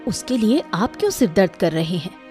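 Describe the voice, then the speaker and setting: A woman voicing a sharp-tongued, disapproving queen in a cartoon, with a pointed, questioning delivery. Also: medium pitch, sing-song, normal speed, soft background music, lightly acted. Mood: indignant. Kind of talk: Hindi cartoon dialogue acting.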